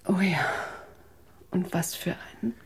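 An actress playing a hurt, distrustful, tired wife in a German radio drama. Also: female voice, on the low side, slow pace, gravelly, husky, in a whisper, full character voice. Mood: guarded.

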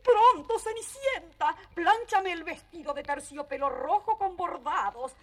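A woman's voice: very high voice, lively intonation, unhurried, fairly bright tone, full character voice. A woman playing a haughty, mocking young stepsister in a children's audio storybook, ordering people about. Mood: bossy. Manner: imperious, demanding. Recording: reverberant, noisy old storybook record.

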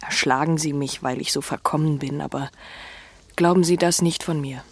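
A woman's voice: low-pitched, steady tone, normal speed, husky. An actress playing a humbled, desperate young fallen woman in a German radio drama, pleading and fiercely sincere. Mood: anguished, pleading. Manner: pleading, impassioned.

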